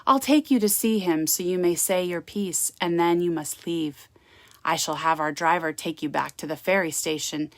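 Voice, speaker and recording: A woman's voice: fairly low pitch, moderate pace, no room sound, close to an everyday voice. Woman reading as an icy, formal manor matriarch. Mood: grave, curt. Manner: cold, formal, unwelcoming. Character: icy, formal society mother guarding her grand manor. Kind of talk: gothic romance storybook reading.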